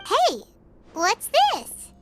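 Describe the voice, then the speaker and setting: An actress voicing a tiny, childlike, excitable companion in a video game, with a squeaky voice. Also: female voice, extremely slow, faint music behind, heavily acted. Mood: concerned, curious.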